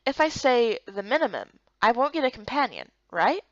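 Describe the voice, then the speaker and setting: A woman voicing a spirited, incredulous young guard recruit in a visual novel game, expressive and questioning. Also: on the high side, moderate pace, no echo, dull audio. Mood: puzzled, wary.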